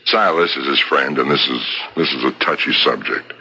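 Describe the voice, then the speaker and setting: An actor playing a shrewd, patient investigator in a 1970s radio mystery, probing with deliberate, folksy hesitations. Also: male voice, rumbling low, sharp tone, breathy edge, exaggerated voice. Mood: cautious. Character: shrewd, patient male investigator piecing together a murder. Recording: old radio broadcast recording.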